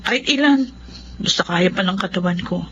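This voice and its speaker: A woman's voice: fairly low pitch, expressive, normal speed, a bit bright, highs cut off, slight background noise. A woman playing a hardworking, guilt-ridden young Filipina migrant mother in a drama, with a Filipino accent. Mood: determined. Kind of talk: Filipino dramatic radio-style acting.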